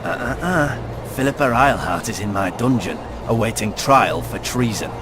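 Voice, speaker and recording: A man's voice: medium pitch, lively intonation, moderate pace, lightly acted. Male actor as sneering game monarch, swooping intonation, condescending, theatrical, music behind. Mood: scornful. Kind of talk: video game dramatic dialogue.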